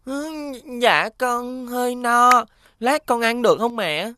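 A woman's voice: a little high, takes it slowly, bright, forceful tone, a little hiss or hum. A woman voicing a kind-hearted, polite little boy in a children's cartoon, with a southern Vietnamese accent. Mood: sheepish. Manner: polite, earnest.

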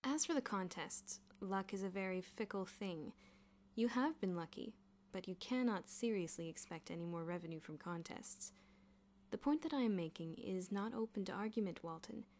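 A woman narrator takes on a strict male headmaster from a school storybook. He speaks formally and with measured authority. His tone is dry, with a sarcastic edge. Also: medium pitch, lively intonation, a little mellow, close to an everyday voice. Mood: stern, measured.

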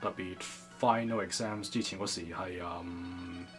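Guy doing a shy fumbling young speaker, low and quiet, lots of ums, slow. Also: fairly even intonation, over music. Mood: hesitant. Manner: hesitant, halting, many fillers. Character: unsure young man fumbling his Cantonese.

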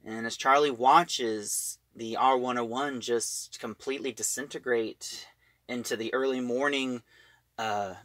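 A man reviews and retells a story casually, in a bright, forward voice. He goes along haltingly, like an informal storyteller. The sound is close and dry. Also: mid-range voice, steady tone, no echo. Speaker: reviewer, host of an audio drama review show.

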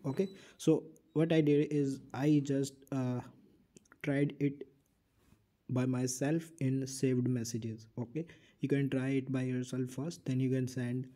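A man's voice: mid-range voice, warm tone. A man hosting a casual app tutorial, explaining things plainly step by step and repeating himself. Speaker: tutorial host.

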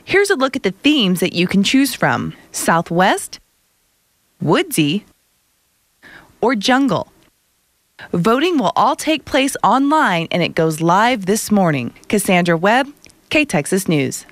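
A woman reporter narrating a scripted news story in a lively voice-over. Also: medium pitch, takes it slowly, pauses often, no room sound.

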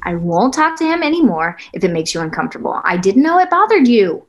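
A woman's voice: medium pitch, big pitch swings, fast talker, muffled recording, lightly acted. A woman in her thirties acting a frank young woman at a screenplay table read. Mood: defensive. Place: plain indoor room, video call.

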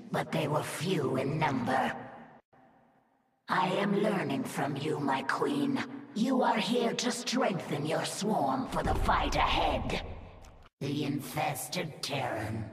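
A woman voicing a fierce, proud alien insectoid brood mother in a video game, imperious and formal, with deliberate delivery. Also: low-pitched. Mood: measured, haughty. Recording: echoing, reverberant, slightly distant with music.

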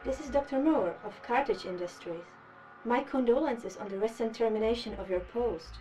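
Woman acting as businesslike female corporate doctor, highish voice, formal, a bit off-mic. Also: normal speed, warm tone, recorded from a distance, soft background music, lightly acted, rough recording. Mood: formal, composed. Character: formal woman doctor recruiting for a secretive company. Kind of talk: naturalistic audio drama acting.

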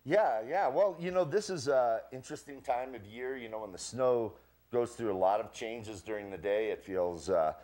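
A man's voice: medium pitch, moderate pace, a little mellow. A man in his sixties, a ski boot fitter, explaining his gear with props in a folksy, jokey way on a morning TV show set. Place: studio kitchen set.

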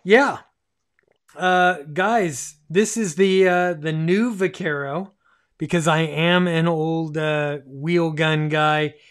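A man's voice: a little high, steady tone, takes it slowly, clean tone. A man in his fifties hosting a casual livestream with enthusiasm, often repeating phrases for emphasis. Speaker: livestream host. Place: small home radio room, indoors.

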